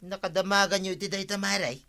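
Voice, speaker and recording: Put-upon husband for radio comedy, Ilocano accent, tense bright voice, grumbling retorts. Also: male voice, high-pitched, fairly even intonation, fast, creaky, full character voice. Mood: dismissive. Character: exasperated, defensive husband of a nagging wife. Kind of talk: radio comedy drama acting.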